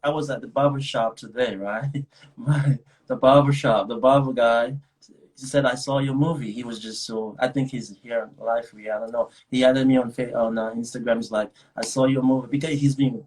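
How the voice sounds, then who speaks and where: A man's voice: medium pitch, fairly even intonation, moderate pace, a little mellow, rough recording. A young adult man with a Nigerian accent, chatting casually as a guest, rambling with many restarts and frequent laughs. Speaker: actor guest. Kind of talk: casual video chat.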